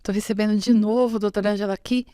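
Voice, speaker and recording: A woman hosts a podcast and fires off playful, teasing questions at her guest. Her voice sits at a middle pitch for a woman. The recording is dry and close. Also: fairly even intonation, quick pace, dark tone, no room sound. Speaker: podcast host. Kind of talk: casual interview hosting.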